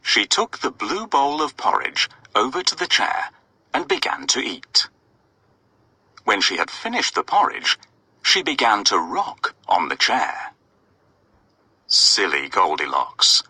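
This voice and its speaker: A man's voice: lowish voice, wildly swooping pitch, takes it slowly, sharp tone, slightly rough, dry recording. A man narrating a scripted children's story expressively, often putting questions to his young listeners.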